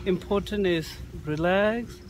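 A man who is a Buddhist monk, chatting slowly in halting English while riding a ski chairlift and searching for words. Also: high voice, background noise. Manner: slow, searching for words, with fillers. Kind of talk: casual chat in halting English. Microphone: phone held at arm's length.

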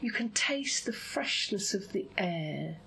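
Woman for hypnosis session; lively rise and fall, unhurried breathy phrasing that sinks into whispers. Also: medium pitch, rough recording. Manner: soothing, drawn-out phrasing, often drops into breathy whisper. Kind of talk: hypnosis session, guided visualization.

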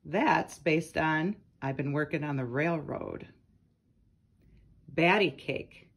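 A woman's voice: low voice, slow, breathy edge. A woman reading children's nursery rhymes aloud from a picture book, speaking to young listeners. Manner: addresses young listeners with questions and short comments.

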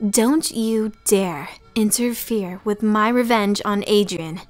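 A woman's voice: medium pitch, slow, soft background music, lightly acted. A woman voicing a vengeful, mocking teenage villainess in a theatrical comic dub. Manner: taunting, theatrical. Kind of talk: dramatic anime-like acting in a comic dub.

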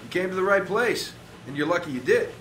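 An actor playing an unhinged, chatty rogue CIA operative in a video game, rambling with flippant, jokey menace. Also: male voice, sits high, animated, a little fast, echoey room, background noise. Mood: manic, breezy. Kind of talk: video game cutscene acting.

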